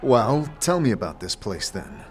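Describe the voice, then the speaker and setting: A man's voice: fairly low pitch, sing-song, unhurried, soft background music. A man playing a reserved, polite adventurer in an audio drama, asking questions in short, hesitant phrases. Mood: hesitant, browsing.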